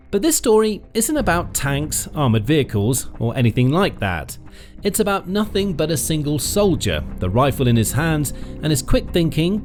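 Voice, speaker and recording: A man narrates in a scripted documentary style, his pitch rising and falling a great deal as he leans on key words. His delivery is dramatic and emphatic, with pauses for effect. Faint music plays underneath his voice. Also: darkish tone. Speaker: narrator of a military news channel. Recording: faint music bed behind the voice.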